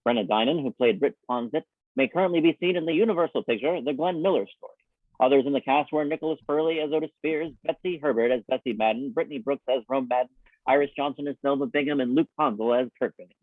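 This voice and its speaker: A man's voice: medium pitch, quick pace, dry recording, narrow, tinny audio. A man announcing scripted credits in 1950s radio style, through a radio filter. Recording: thin, band-limited old radio sound.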